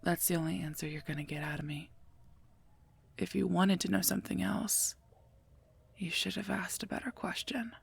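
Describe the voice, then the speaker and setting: A woman voicing a sardonic female werewolf knight and mercenary bodyguard in an ASMR roleplay, husky and gravelly, dry and curt. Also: on the low side, fairly even intonation, normal speed, pauses often, a little hiss or hum. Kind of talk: scripted ASMR roleplay, addressed to listener.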